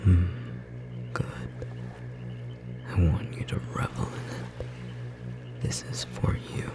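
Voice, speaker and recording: Slow, whispering, tender magical lover acted out. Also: loud music behind the voice, natural voice. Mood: tender, awed. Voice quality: breathy whisper, a little rough. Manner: slow, gentle, reassuring, with long pauses. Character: tender, devoted magical bookstore clerk romancing their partner. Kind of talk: intimate ASMR romantic roleplay.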